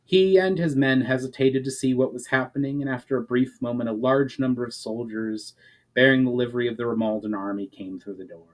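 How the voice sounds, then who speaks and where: Guy narrating a fantasy chapter like a dramatic audiobook, mid-pitched voice. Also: fairly even intonation, brisk. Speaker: author reading his own fantasy chapter.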